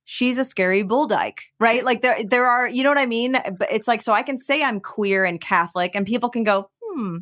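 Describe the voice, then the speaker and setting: A woman from the United States, a singer-songwriter guest in a conversational interview, talking in an animated way as she searches for her words. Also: a little high, moderate pace, few pauses. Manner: animated, searching for words, many fillers and restarts.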